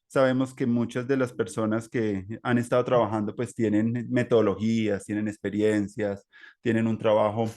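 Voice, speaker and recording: A man with a Colombian accent hosting a reflective, conversational interview, with halting, meandering phrasing full of filler words. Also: mid-range voice, darkish tone. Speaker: interview host.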